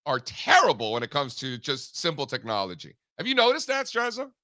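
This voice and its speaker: A man's voice: on the high side, wildly swooping pitch, a little fast, pushed and bright, no room sound, studio quality. A man co-hosting a markets show, bantering and joking with an announcer-like radio voice. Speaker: co-host. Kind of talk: casual chat.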